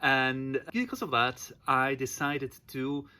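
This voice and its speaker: A man in his forties from Argentina, with an Argentine accent and Spanish as his first language, telling his own story in a relaxed, chatty vlog. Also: mid-range voice, slow pace. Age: 40s. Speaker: vocal percussion teacher.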